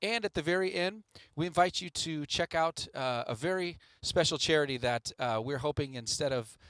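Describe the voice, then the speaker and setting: A man's voice: a little high, normal speed, fairly bright tone, dry recording. A man hosting a radio theatre show, making intermission announcements to the listeners. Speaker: radio play host.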